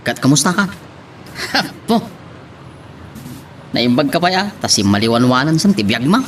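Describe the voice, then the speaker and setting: A man's voice: medium pitch, big pitch swings, a little slow, slight background noise. Man acting chatty Ilocano family man. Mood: hearty, surprised. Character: chatty old friend, struggling family man. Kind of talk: Ilocano audio drama acting.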